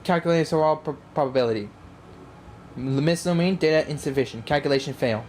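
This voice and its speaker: A woman voicing a literal-minded game robot that speaks in clipped, mechanical statements, reading haltingly. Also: sits low, darkish tone, a little hiss or hum, voice pushed down, lightly acted. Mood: emotionless. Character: flat, literal robot reciting calculations.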